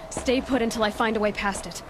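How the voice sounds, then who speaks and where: An actress playing an observant, compassionate young female adventurer in a video game, softly musing aloud. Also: a little high, fairly even intonation, fairly quick, natural voice. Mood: focused. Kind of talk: dramatic video game acting. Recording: clear ambient noise behind.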